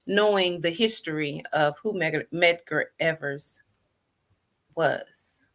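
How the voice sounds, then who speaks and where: A middle-aged woman, hosting a lively, chatty livestream interview and playing to her viewers. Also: low voice, slow. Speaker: host.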